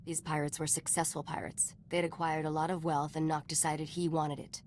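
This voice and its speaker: A woman's voice: sits low, little pitch movement, brisk, darkish tone, slight background noise, close to an everyday voice. A woman voice-acting a cool, knowing smuggler informant in a video game, wry and composed as she tells stories.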